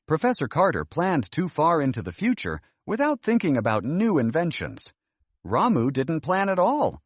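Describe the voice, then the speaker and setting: A man voicing a bright, earnest granddaughter in a children's storybook, explaining things thoughtfully. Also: fairly high pitch, wildly swooping pitch, moderate pace, no echo. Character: bright, earnest granddaughter, later an inspired engineer.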